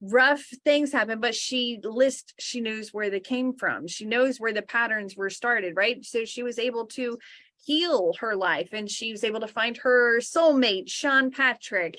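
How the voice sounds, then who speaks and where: A woman co-hosting a spiritual livestream, chatting warmly about books, gushing and laughing often and easily sidetracked. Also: fairly high pitch, no room sound. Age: adult sister of co-host. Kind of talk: casual chat, reviewing books.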